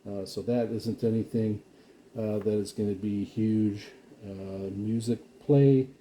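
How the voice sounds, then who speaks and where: A man giving a casual, rambling product walkthrough as a reviewer, speaking in a halting, explanatory way. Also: on the low side, fairly even intonation, mellow, slight background noise. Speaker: radio reviewer and vlogger.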